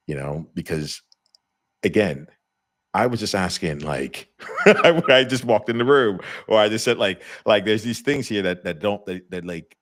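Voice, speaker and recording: A middle-aged man hosting a live conversation, talking loosely with a deep voice, many fillers and restarts. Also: no room sound, clean studio sound. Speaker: host.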